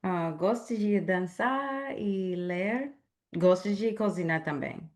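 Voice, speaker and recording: Woman from the US, beginner learner, deliberate slow short replies. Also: mid-range voice. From United States. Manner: slow, careful short answers. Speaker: language learner being interviewed. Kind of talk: casual interview answers.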